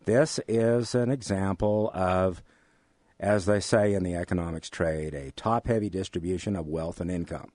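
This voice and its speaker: A mature American man answers interview questions like a lecturer. His voice sits fairly low, and he explains deliberately, repeating points for emphasis. The sound is dry, with very little room on it. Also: darkish tone, no room sound. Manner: deliberate, professorial, emphatic repetition. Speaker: economics professor, interview guest. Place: radio studio.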